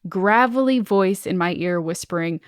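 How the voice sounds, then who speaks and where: A woman hosting a podcast, reading stories aloud and chatting casually in an expressive, enthusiastic way. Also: lowish voice, normal speed, clear, resonant tone, dry recording, studio quality. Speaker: podcast host.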